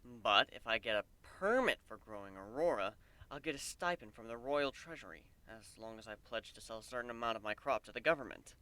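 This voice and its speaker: A man's voice: medium pitch, animated, brisk, pushed and bright, no echo. A man playing an earnest, easygoing male pegasus in a fantasy story, talking with a mild grumble. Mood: practical, grumbling.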